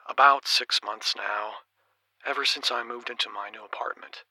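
A man acting a troubled late-night radio caller in an audio drama. He talks slowly and hesitantly in a very bright, edgy voice, recorded dry. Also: mid-range voice, steady tone, no echo, exaggerated voice, low-quality recording. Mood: uneasy, confiding.

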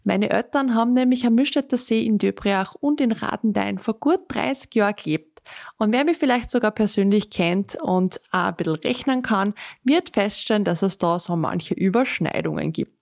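Austrian woman hosting, close and slightly creaky. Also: medium pitch, moderate pace, a little mellow, dry recording. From Austria (born in Carinthia, grew up in Styria). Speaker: podcast host. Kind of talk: travel podcast narration and interviewing.

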